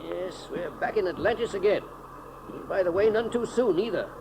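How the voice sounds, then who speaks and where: A scientist pilot in an old radio serial. His voice is high and gravelly, set against clear noise like an engine on a 1930s recording. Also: moderate pace. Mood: matter-of-fact. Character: scientist doctor piloting a rocket plane.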